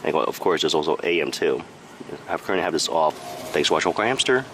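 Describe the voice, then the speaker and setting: Very low-voiced man reviewing a product. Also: lively intonation, fast talker, a bit bright, a little hiss or hum. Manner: plain, matter-of-fact. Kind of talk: step-by-step product review narration.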